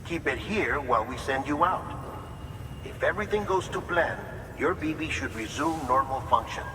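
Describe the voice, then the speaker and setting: A man playing a calm, clinical expert in a video game, explaining a procedure in a precise way. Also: on the high side, moderate pace, noisy background, lightly acted. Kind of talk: dramatic video game dialogue. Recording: echoey hall, music behind.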